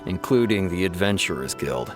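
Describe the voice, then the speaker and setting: Lowish, assured officer. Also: male voice, animated, normal speed, loud music behind the voice. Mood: confident. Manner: assured, formal. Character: official male officer, firm and self-assured. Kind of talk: video game voice acting.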